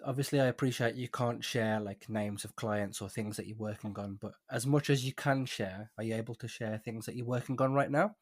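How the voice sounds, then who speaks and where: A man hosting a podcast interview, asking questions and answering with quick, friendly affirmations. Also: medium pitch, fairly even intonation. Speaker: podcast host.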